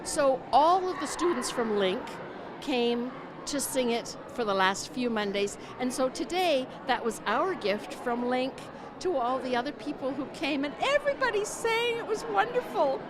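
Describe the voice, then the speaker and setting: A woman's voice: high-pitched, animated, moderate pace, background noise. A woman ESL teacher speaking with enthusiasm.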